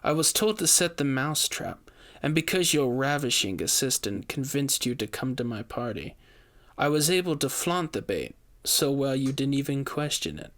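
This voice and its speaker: A man voicing a sly high-society woman who leads a witch coven, soft and sweet, with a silky manner. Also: very animated, normal speed. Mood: smug, gloating. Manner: gloating, silky.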